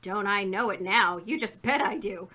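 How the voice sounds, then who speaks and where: A woman's voice: medium pitch, normal speed, bright, forceful tone. An actress playing a chatty, indignant, folksy woman shopkeeper in a 1950s radio drama. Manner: folksy, garrulous, emphatic.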